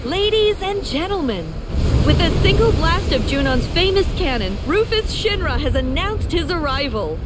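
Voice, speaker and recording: An actress playing an excited parade announcer, proclaiming emphatically as in a live broadcast in a video game. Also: female voice, extremely high pitch, moderate pace, heavy background noise. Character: grand, excited female parade news announcer.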